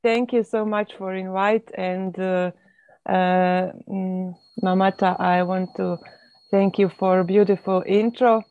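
A Croatian woman introducing herself on a video call, speaking haltingly with many pauses and fillers. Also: mid-range voice, steady tone, no echo. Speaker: webinar guest speaker. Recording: phone-like thin audio.

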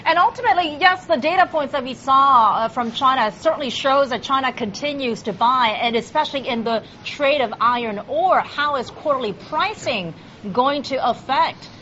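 A woman anchoring TV business news, reading the script smoothly and then ad-libbing with hesitant fillers. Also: sits high, moderate pace, pushed and bright, lo-fi sound, slight background noise.

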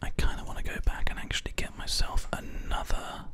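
Whispering ASMR gamer, hushed and close. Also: brisk. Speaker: gaming host. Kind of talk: ASMR whispered gameplay commentary. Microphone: close binaural microphone. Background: keyboard and mouse clicks.

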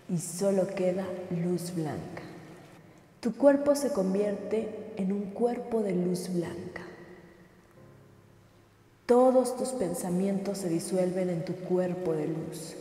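A woman guiding a scripted sleep meditation in a slow, soothing, gentle manner. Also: lowish voice. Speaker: meditation guide. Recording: echoey with long reverb.

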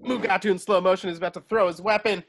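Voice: medium pitch, fairly even intonation, fast talker, a bit bright. A narrator reading screenplay stage directions for a table read over a video call.

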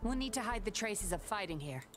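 A woman's voice: mid-range voice, animated, a little fast, natural voice. A woman voicing a sly, composed young woman who teases and stays in control, in a game with music behind. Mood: cool teasing. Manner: smooth, teasing, in control.

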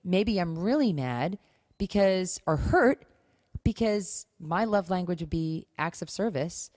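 Low-pitched woman co-hosting, close dry sound. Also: animated, slow, darkish tone, no echo. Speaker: podcast co-host. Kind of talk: casual conversational talk on marriage and parenting.

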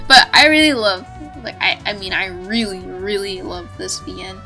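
A woman's voice: medium pitch, a little slow, bright, forceful tone, faint music behind. A woman talking off the cuff in a casual, rambling, giggly way with some swearing, like a video creator giving her opinions. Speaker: video creator reviewing visual novels. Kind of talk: unscripted casual chat.

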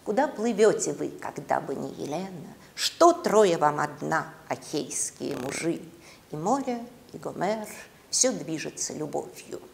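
A middle-aged woman giving a dramatic university lecture in a classroom, with theatrical, expressive storytelling and deliberate pauses. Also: low-pitched, gravelly, slight background noise. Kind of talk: dramatic lecture on literature and mythology. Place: classroom, indoors.

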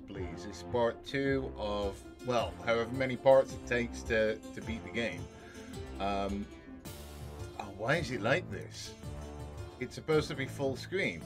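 Hoarse low-voiced man streaming a game. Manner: relaxed, rambling, thinking aloud. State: sore throat, voice lower than normal. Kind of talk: casual game commentary. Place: small home room with foam panels. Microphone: headset with desk mic.

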